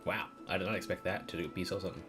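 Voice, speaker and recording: Deep-voiced man streaming a game. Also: steady tone, quick pace, music in the background.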